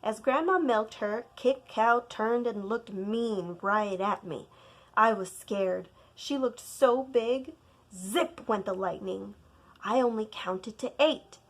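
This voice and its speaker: A woman reads a picture book aloud in the manner of a teacher. Her voice is fairly high and her pace very slow and deliberate. She narrates expressively, putting dramatic weight on key words.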